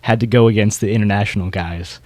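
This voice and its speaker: A man's voice: lowish voice, fairly even intonation, quick pace, a little mellow, no echo. A man hosting a podcast, chatting casually about a competition scene. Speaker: podcast host.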